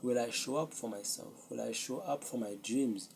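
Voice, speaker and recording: A young man, a Zulu speaker, hosting his own podcast and talking earnestly and reflectively to a camera with many pauses. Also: mid-range voice, vocal fry, slight background noise. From South Africa. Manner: slow, earnest, self-searching, with pauses. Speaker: podcast host. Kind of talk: solo reflective talk to camera.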